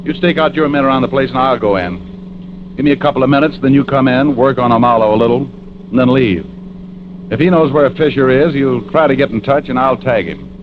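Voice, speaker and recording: An actor playing a tough, cool private detective in an old-time radio crime drama, clipped and commanding. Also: male voice, a little fast, close to an everyday voice. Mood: brisk, decisive. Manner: clipped, hard-boiled. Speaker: lead actor, also narrating. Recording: muffled old radio recording, loud rumbling noise.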